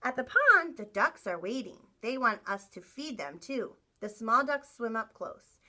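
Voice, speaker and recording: A woman reads a children's picture book aloud like a teacher with young listeners. Her voice is bright and fairly high, with very lively swings in pitch. She tells it expressively and plays up the sound words. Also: measured pace. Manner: animated, acts out sound effects, asks listeners questions. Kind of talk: expressive read-aloud storytelling.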